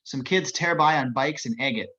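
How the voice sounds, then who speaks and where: A man's voice: mid-range voice, normal speed, pushed and bright, dull audio. A man narrating screenplay action descriptions as scripted narration. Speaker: narrator of stage directions.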